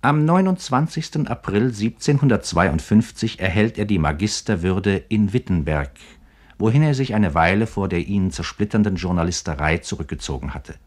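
A man narrating a scripted biographical radio feature in a formal, measured manner. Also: fairly low pitch, animated.

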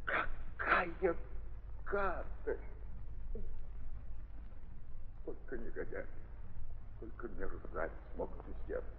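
An actor playing a remorseful, self-accusing nobleman in an old radio drama, halting and repeating his words in anguish. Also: mid-range voice, sing-song, noisy background. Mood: horrified self-reproach. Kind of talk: 1930s radio theatre acting. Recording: muffled husky 1930s recording, long hall reverb.

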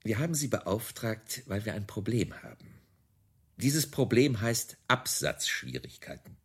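A sober executive and sceptical client in a radio drama. His voice is husky, with wide swings in intonation. The manner stays dry and matter-of-fact. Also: fairly low pitch, sing-song, unhurried, full character voice. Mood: dry, businesslike. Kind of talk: radio play dialogue, boardroom talk.

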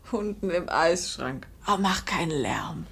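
A woman playing a patient, anxious middle-aged wife in a 1950s German radio drama, speaking in a gentle, often hushed, soothing way. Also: on the low side, animated, slow pace, vocal fry, heavily acted. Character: patient, anxious, devoted wife of an ageing salesman.

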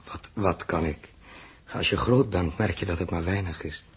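An actor playing a weary, puzzled man who is gentle with a child, in a hesitant 1960s radio drama delivery. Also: low voice, normal speed, breathy edge.

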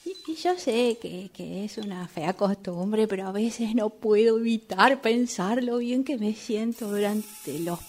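Actress as slow-spoken, haughty Argentine mistress. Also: female voice, medium pitch, a little mellow. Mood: coolly menacing. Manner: condescending, imperious. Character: haughty, menacing lady of the house scolding her maid. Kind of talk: radio drama acting.

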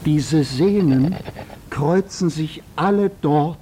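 A grave male chronicler in a radio play. He speaks slowly and deliberately in a soft, dark tone, as scripted narration. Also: on the high side.

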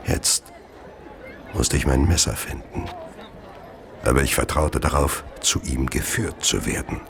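An actor playing a coldly contemptuous gentleman serial killer in a radio play, speaking in a deliberate, theatrical and sinister way. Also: a bass voice, background noise. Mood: cold, disdainful. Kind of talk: radio play narration and dialogue.

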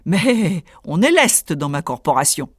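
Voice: mid-range voice, sing-song, measured pace, breathy edge, no echo, studio quality. A storyteller voicing a boastful, cunning little male tailor who brags in a cocky, self-satisfied way.

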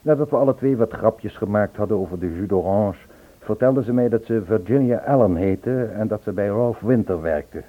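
A man's voice: lowish voice, moderate pace, close to an everyday voice. Actor as a suave romantic man, tinny radio sound. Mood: reminiscing. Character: charming, impulsive man telling of his whirlwind romance. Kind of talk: Dutch radio play, narration and dialogue. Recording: tinny, band-limited old radio recording.

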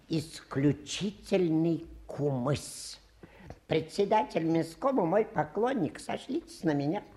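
A touchy old-fashioned showman fakir in a radio drama. His pitch swoops widely as he boasts in fussy, halting, grandiose phrases. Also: a little mellow. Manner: pompous, halting, fussy theatrical patter. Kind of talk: radio play acting.

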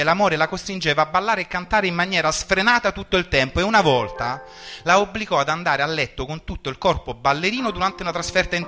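A man delivers a theatrical, ironic monologue. His voice is sharp and forceful, set a little high, with a wry deadpan delivery. Music plays behind him. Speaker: stage performer.

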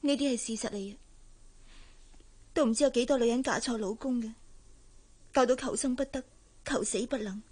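An actress with a Hong Kong Cantonese accent playing a headstrong, wounded young working woman in a radio drama, hushed and plaintive. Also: fairly high pitch, normal speed, long pauses, breathy edge. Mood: defiant. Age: young adult.

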